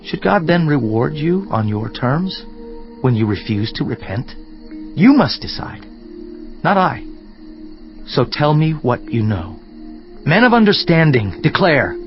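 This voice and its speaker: A man's voice: fairly high pitch, big pitch swings, unhurried, long pauses. An actor playing an earnest, indignant biblical man, declaiming in an oratorical dramatized scripture reading with rhetorical questions. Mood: stern, challenging. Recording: dull lo-fi audio with music. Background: background music.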